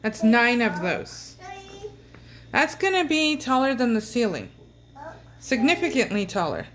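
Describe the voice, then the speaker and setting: A woman's voice: fairly high pitch, very animated, measured pace, a bit bright. A woman explaining something casually, like a parent talking to family. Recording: noisy home recording.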